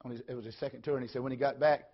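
A man, preaching in a folksy storytelling way, repeating phrases and swinging between loud and soft. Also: medium pitch, steady tone, racing. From Tennessee, USA. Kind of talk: sermon storytelling. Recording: dull lo-fi recording.

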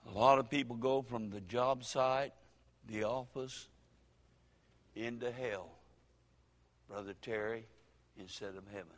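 Older preacher in an echoing church. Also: male voice, mid-range voice, fairly even intonation. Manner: folksy preaching with dramatic pauses and sudden loud rises. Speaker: pastor. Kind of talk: sermon.

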